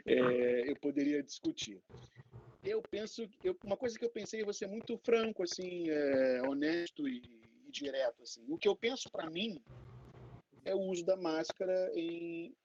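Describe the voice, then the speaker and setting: A man from Rio, a guest professor answering in a candid, conversational and halting way, often checking for agreement. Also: mid-range voice, a little mellow, husky. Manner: candid, halting, frequently seeking agreement with tags. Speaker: invited professor answering questions. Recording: dull lo-fi audio with faint noise.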